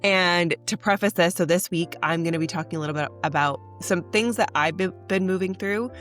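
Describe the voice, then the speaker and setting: A woman's voice: lowish voice, a little fast, a bit bright, faint music behind. A young woman hosting a spiritual podcast, talking in an earnest, chatty way, congested from a cold. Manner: earnest, chatty, says "like" often. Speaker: podcast host. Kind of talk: casual spiritual podcast monologue.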